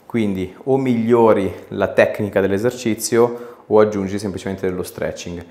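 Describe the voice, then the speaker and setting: Low-voiced male chiropractor, echoey room. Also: normal speed, dark tone. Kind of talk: casual hands-on session talk with short instructions. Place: small indoor treatment room. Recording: echoey small treatment room.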